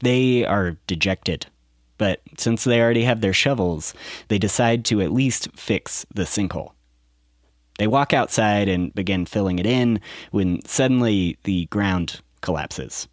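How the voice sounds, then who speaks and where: A man's voice: fairly low pitch, a little slow, no room sound, clean studio sound. A man reading scripted documentary narration as a podcast host.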